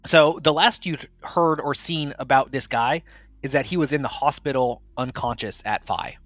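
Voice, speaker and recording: A man's voice: medium pitch, normal speed, a bit bright, no room sound. Man running a tabletop game, dry sound. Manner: explains at length with many fillers and restarts. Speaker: game master. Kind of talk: casual tabletop game narration.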